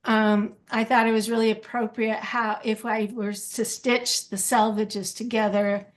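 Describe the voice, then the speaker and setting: A woman artist presenting a textile piece slide by slide, halting and restarting as she explains. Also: fairly high pitch, little pitch movement. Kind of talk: slide presentation of her art.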